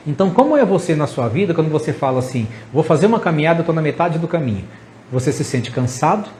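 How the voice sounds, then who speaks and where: A man in his fifties talks straight to camera, earnest and encouraging, stacking up lists and asking the listener rhetorical questions. His voice sits a bit high for a man. A faint hiss runs under the recording. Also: darkish tone. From Brazil. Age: 50s. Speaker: psychologist host. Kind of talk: motivational talk to camera.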